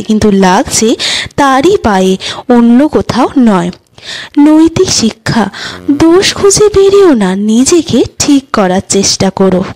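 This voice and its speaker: A woman reading short children's moral stories as scripted narration, in a measured, deliberate storytelling manner. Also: a little high, darkish tone, poor audio. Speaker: storyteller and channel host.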